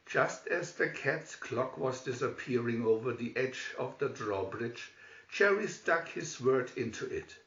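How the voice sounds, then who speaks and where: A man narrates a children's storybook in an unhurried, theatrical way. His fairly high voice swings widely in pitch. The sound is roomy and a little dull, picked up from a distance. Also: a bit bright. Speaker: storybook reader. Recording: echoey room, distant dull microphone.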